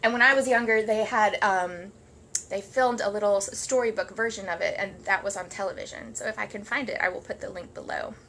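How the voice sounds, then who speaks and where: A woman reading children's books aloud with expressive, dramatic emphasis, as in storybook narration. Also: mid-range voice, fairly quick, slight background noise.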